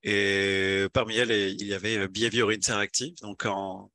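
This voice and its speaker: A man's voice: fairly low pitch, level tone, moderate pace, sharp tone. A young man from France, a video game developer, giving a casual talk with slides, hesitant with many fillers and restarts.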